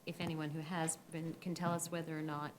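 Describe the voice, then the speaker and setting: A low-voiced woman commissioner speaks at a public planning meeting, apologetic and questioning. The recording carries clear background noise. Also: level tone, fairly quick.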